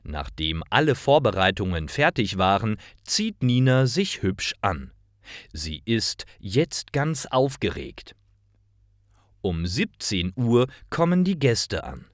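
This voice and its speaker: A man narrating simple scripted stories for language learners, reading aloud in a deliberate, carefully articulated way. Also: on the low side, sing-song, dry recording, clean studio sound.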